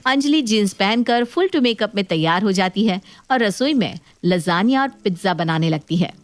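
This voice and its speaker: A woman's voice: medium pitch, expressive, moderate pace. A woman narrating a scripted domestic tale as storyteller.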